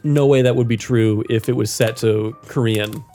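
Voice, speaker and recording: A man's voice: medium pitch, steady tone, normal speed, dark tone, soft background music. A man co-hosting a podcast, chatting casually and emphatically with strong opinions about screen entertainment. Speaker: podcast co-host. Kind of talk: casual film and TV chat.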